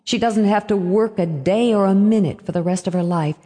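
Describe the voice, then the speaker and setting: A bitter, brittle mother from a storybook, acted by a woman reader. She speaks with wounded emphasis, pitched up from an everyday voice, in a dry, close recording. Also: very animated, a little slow, warm tone, no echo. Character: bitter, strained small-town mother, brittle and blurting.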